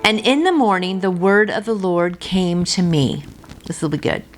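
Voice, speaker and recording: A woman's voice: fairly low pitch, lively intonation, moderate pace, soft background music. A middle-aged woman hosting a devotional, reading and then encouraging the listener in an earnest, personal, informal way. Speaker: devotional host and reader.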